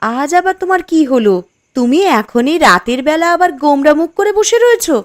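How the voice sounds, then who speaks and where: A woman playing a concerned, sensible young village wife in a cartoon story, gently reasoning. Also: high voice, animated, moderate pace. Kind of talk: dramatic cartoon story dialogue.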